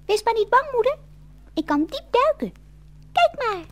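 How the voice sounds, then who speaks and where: A woman's voice: very high-pitched, big pitch swings, normal speed, a little hiss or hum. An actress playing a tiny, plucky little girl fish in a fairy-tale radio play.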